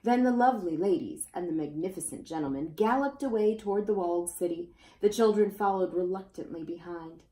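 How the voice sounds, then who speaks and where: A woman's voice: on the low side, fairly quick, warm tone. A woman reading a children's picture book aloud expressively, as storyteller to young listeners. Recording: roomy, echoey indoor sound.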